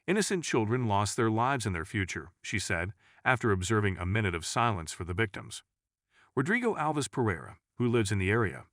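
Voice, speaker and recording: Low-voiced man narrating news, wide-ranging intonation. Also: a bit bright, no echo, clean studio sound.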